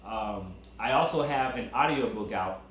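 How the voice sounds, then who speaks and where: A man's voice: fairly low pitch, measured pace. Easygoing man in an echoey room. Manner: relaxed, rambling with fillers and repeats. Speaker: co-host. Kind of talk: casual couple chat. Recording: very echoey room with faint background noise.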